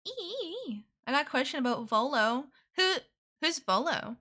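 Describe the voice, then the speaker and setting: A woman's voice: sits high, sing-song, a little slow, pushed and bright. A woman streamer chatting casually with her viewers, bubbly and giggly, with a sore throat. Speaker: VTuber streamer. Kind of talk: casual live-stream chat.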